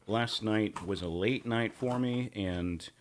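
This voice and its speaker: A man hosting a casual livestream, slightly hoarse, rambling and warmly thanking viewers for tips. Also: slow pace, noisy background. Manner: rambling, thanks tippers, breaks into silly high voices. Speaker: livestream host.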